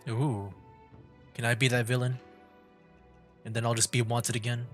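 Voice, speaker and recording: A man's voice: mid-range voice, level tone, normal speed. Casual male streamer over game music. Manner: informal, rambling, reacting as he plays. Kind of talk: casual livestream commentary.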